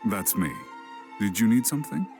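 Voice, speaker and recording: A man's voice: fairly low pitch, expressive, normal speed. A man playing a guarded, brooding veteran warrior and scout in a video game, reserved and prone to muttering. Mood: startled, pondering. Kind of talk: English video game voice acting. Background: music.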